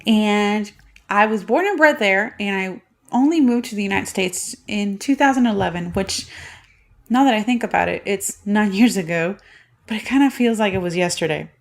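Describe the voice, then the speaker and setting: A woman's voice: mid-range voice, moderate pace. A woman in her thirties from Puerto Rico, hosting a solo podcast and telling a personal story in a chatty way. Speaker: podcast host.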